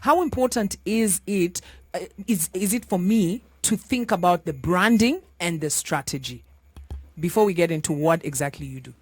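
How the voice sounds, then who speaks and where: A woman's voice: fairly low pitch, expressive, moderate pace, slightly rough, no room sound. A woman with a Kenyan accent hosting a radio talk show, playful and teasing as she questions a guest. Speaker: radio host. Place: radio studio.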